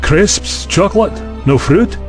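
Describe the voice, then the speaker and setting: A man performs a university chancellor in a sci-fi radio play. He is blunt, questioning and tactless, with a fairly high male voice over a music bed. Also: lively intonation, slow. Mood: grumbling. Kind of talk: science fiction audio drama acting.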